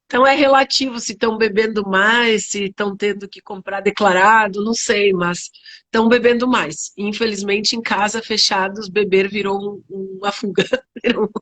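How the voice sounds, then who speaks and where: A woman from southern Brazil, a winemaker guest, talking in a lively, easygoing way and laughing easily. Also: medium pitch, normal speed. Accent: Southern Brazilian (Gaúcho) Portuguese. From Serra Gaúcha, southern Brazil. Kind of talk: conversational interview.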